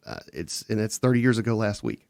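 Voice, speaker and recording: A man's voice: on the low side, very animated, fairly quick, gravelly, darkish tone, dry recording. Rambling storyteller man, dry close sound. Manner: rambling, self-interrupting storyteller. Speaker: guest. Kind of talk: casual podcast storytelling.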